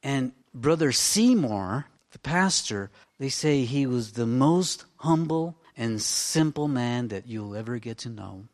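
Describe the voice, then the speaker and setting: A man in his fifties, a minister preaching in a fervent, rambling way that swings between loud exclamations and hushed talk. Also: mid-range voice, expressive, takes it slowly, few pauses. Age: 50s. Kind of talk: informal sermon.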